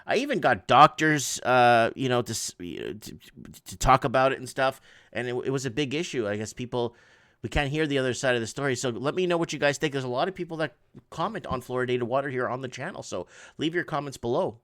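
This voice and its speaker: A middle-aged man whose first language is Portuguese reads news stories aloud and comments casually as he goes. He stumbles, restarts and drifts into asides, and his voice sits at an ordinary pitch. The room sounds dry. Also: quick pace, a bit bright, no room sound. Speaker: online news show host. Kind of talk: casual commentary reading headlines.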